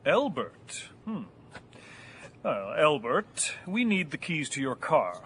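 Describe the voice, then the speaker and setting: A man's voice: on the high side, sing-song, slow, fairly bright tone, a little hiss or hum, exaggerated voice. An actor playing a deranged fugitive gunman in an audio horror drama, menacing yet mock-polite and florid in his speech. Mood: coolly menacing.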